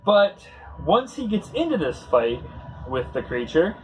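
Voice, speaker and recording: A man hosting a casual read-along commentary, talking in a halting, conversational way as he searches for words. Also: high voice, big pitch swings, soft background music, low-quality recording. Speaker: host.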